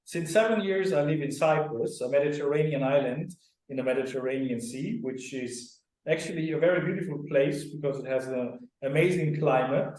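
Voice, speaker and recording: A man's voice: on the high side, moderate pace, darkish tone. A middle-aged man from Austria, a guest on a radio interview, talking earnestly and at length, often checking in with "right?". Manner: earnest, explanatory, often tags sentences with "right?". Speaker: clinic director and co-founder. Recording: very echoey, distant sound.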